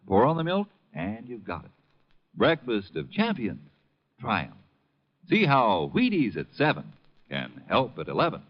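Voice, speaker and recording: A man's voice: medium pitch, wildly swooping pitch, a little slow. A man announcing a 1950s radio cereal advertisement with folksy, upbeat sales patter. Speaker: commercial announcer. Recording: muffled vintage 1950 radio recording.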